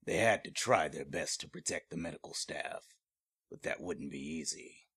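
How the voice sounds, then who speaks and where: A man playing a grave narrator of an audio horror drama, reading scripted, dramatic narration in a deep, husky voice. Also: moderate pace, fairly bright tone, heavily acted.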